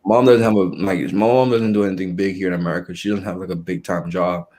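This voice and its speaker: A young man talking casually as an interview guest, pausing and restarting often as he gathers his thoughts. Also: low voice, steady tone, darkish tone. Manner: halting, with frequent restarts and fillers.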